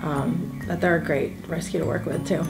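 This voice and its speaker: A woman's voice: low-pitched, fairly even intonation, normal speed, gravelly. Chatty woman in a sit-down interview. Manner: chatty, upbeat, with frequent ums. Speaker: event co-founder. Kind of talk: casual interview answers. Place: indoor room at a table. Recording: soft music bed underneath.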